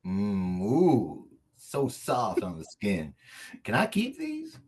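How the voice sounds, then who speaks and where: Husky, animated small-time hitman acted by a man. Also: on the low side, slow, heavily acted. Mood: delighted. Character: small-time hitman, a brash, foul-mouthed, emotional man. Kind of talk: comedic script table read.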